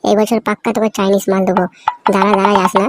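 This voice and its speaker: A woman's voice: mid-range voice, flat delivery, rapid-fire, lightly acted. A woman voicing a pushy male village politician in a cartoon, wheedling and crude as he begs for votes. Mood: pleading. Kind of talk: cartoon comedy voice acting. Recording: noisy homemade recording.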